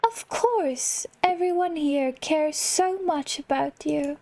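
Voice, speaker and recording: A very high-pitched, caring girl mouse from a cartoon game, put on by a woman. She is cheerful and gushing, full of sweet reassurance. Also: slow, mellow. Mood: tender, reassuring.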